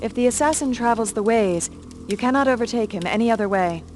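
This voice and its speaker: A woman playing a grave, formal mentor sorceress in a fantasy video game, speaking in a measured, solemn manner. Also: on the high side, darkish tone, slight background noise, natural voice. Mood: grave warning. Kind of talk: dramatic fantasy game acting.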